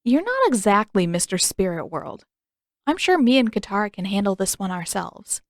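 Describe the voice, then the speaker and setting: A woman's voice: mid-range voice, big pitch swings, moderate pace, no echo. Lady doing a young boy hero, easygoing and earnest, casual, storybook reading, very dry sound.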